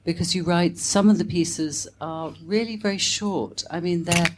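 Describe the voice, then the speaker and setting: A woman's voice: lowish voice, normal speed, background noise. A woman in an audience asking a conversational question at a book event.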